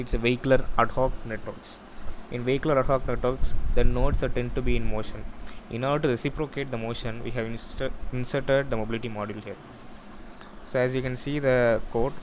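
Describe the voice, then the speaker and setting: Halting man explaining technical work, noisy background. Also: mid-range voice, little pitch movement. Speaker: student or developer presenting a project. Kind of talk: technical screen-share walkthrough, unscripted.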